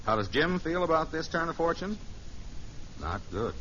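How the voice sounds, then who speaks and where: A man's voice: a little high, very animated, normal speed, a bit bright, slight background noise. An American man giving wry, scripted narration as announcer of a 1950s radio show. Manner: wry, storytelling. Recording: muffled old radio recording.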